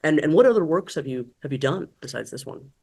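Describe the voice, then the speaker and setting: A woman's voice: low voice, animated, brisk, warm tone, husky. A woman hosting a podcast, asking interview questions in an effusive, encouraging way. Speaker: podcast host.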